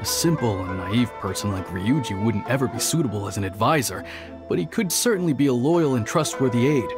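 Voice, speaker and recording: Casting: actor as dry, no-nonsense male officer, medium pitch, measured game dialogue with clear music behind. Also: expressive, lightly acted. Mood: reflective. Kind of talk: video game character acting.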